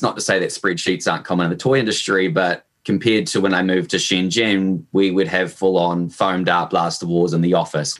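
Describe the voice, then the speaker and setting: Low-voiced New Zealand man, relaxed. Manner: relaxed, hedging with fillers. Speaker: podcast guest, e-commerce manager. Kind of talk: casual interview answers.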